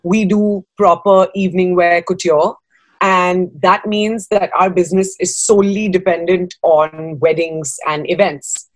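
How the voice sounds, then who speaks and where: A woman's voice: lowish voice, little pitch movement, a little slow, no room sound. A woman in her thirties from Dubai, giving conversational interview answers on a video call from a room at home. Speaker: guest, fashion designer.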